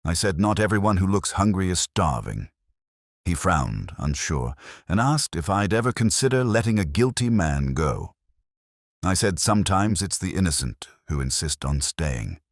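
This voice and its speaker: A man plays a weary, cynical police inspector telling his own story in a detective audio drama. The voice is extremely low and swings widely in pitch as he broods over each phrase with measured care. The sound is dry and close, with hardly any room to it. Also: big pitch swings, no room sound, exaggerated voice. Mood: quietly troubled. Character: weary, cynical male English police inspector, reflective and literary. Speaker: narrator. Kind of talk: scripted first-person detective drama narration.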